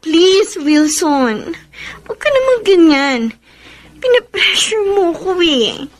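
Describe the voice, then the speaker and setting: A woman's voice: extremely high pitch, very animated, slow pace, full character voice. An actress playing a torn, principled young Filipino woman in love, with emotional, pleading delivery in a radio drama.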